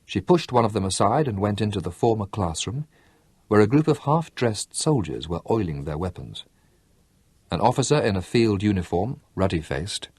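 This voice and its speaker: A man narrating a novel as an expressive audiobook reader. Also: sits low, husky, no room sound. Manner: expressive storytelling reading. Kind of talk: scripted narration.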